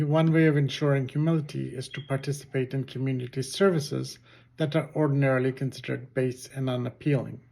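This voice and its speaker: A man whose first language is Urdu, hosting his channel and giving a measured, formal reading of a religious text. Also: a little high, gravelly, darkish tone, slightly rough. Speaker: channel host.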